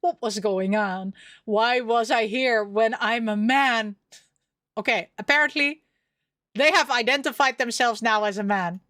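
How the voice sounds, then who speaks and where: A woman reading a story game aloud expressively, dropping in casual asides, with an animated delivery. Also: fairly high pitch, measured pace, dry recording, clean studio sound. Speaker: streamer reading a visual novel aloud.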